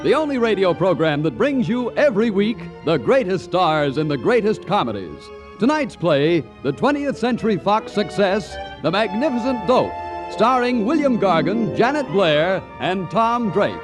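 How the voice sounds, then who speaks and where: High, animated male announcer over music. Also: normal speed. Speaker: radio announcer. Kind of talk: 1940s radio announcing.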